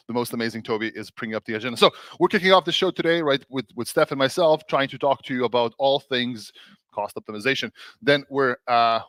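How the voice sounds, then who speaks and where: A man from Serbia hosting a casual tech livestream, animated and laughing often, with many restarts and fillers. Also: mid-range voice, dry recording. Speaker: host, developer advocate.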